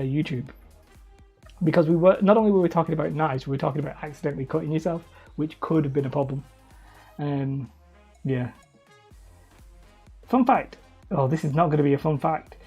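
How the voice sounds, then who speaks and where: A man casually chatting on a livestream while he crafts hobby terrain, rambling and self-deprecating as he reads the chat aloud. He calls his own voice high and whiny. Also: brisk, pauses often, slightly rough, muffled recording, soft background music. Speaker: hobby terrain-making streamer.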